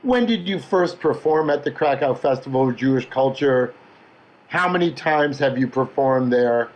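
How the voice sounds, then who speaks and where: A man moderating an online panel discussion, introducing the event and guests with measured, emphatic phrasing. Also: mid-range voice, expressive, highs cut off. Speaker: discussion host.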